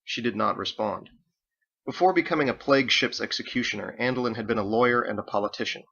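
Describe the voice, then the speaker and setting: A man's voice: medium pitch, fast talker, vocal fry, sharp tone, slightly rough, highs cut off. A man reading his own book as scripted audiobook narration.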